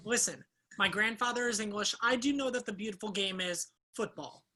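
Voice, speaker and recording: A woman's voice: medium pitch, a little fast, bright, forceful tone. A woman in a play reading playing a foul-mouthed young American student, blunt and sarcastic with a teasing streak. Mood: bantering. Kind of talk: play reading, naturalistic comic banter.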